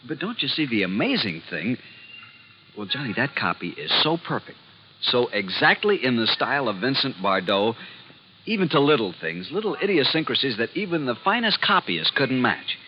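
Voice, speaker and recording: A man's voice: mid-range voice, very animated, normal speed, lightly acted. A man playing an earnest, naive art museum expert in a 1950s radio drama, insistent and easily flustered. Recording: muffled vintage radio recording, faint noise.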